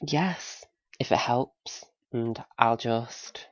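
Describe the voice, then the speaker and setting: A man's voice: medium pitch, extremely slow, highs cut off. A man playing a mild, doubtful listener in a naturalistic audio drama, answering in short, tentative, questioning replies. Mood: matter-of-fact.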